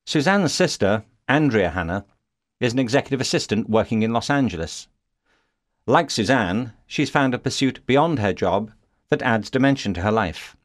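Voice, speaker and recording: A man narrating a nonfiction audiobook in a measured, steady reading. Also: medium pitch, dry recording, clean studio sound.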